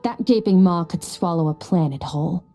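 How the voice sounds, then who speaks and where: A woman voicing an aloof, stoic young warrior in a video game, speaking in a cool, deadpan, formal manner. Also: on the low side, normal speed, natural voice. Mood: grave, explanatory. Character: aloof, stoic, powerful young swordswoman from the abyss. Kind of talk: anime-style game voice acting. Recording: music behind the voice.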